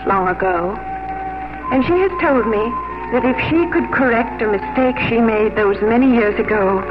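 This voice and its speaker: A woman's voice: medium pitch, muffled recording, lightly acted. Casting: female actor for tender, proper romantic heroine, earnest and restrained, old-time radio sound over music. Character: gentle, earnest, reserved English gentlewoman in love. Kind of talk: 1950s radio romantic drama acting.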